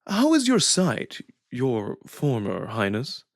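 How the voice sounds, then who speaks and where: A man voicing a suave young jackal prince who speaks in a measured, smug, theatrically polite way. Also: mid-range voice, wildly swooping pitch, no room sound, clean studio sound, full character voice. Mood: smug, teasing.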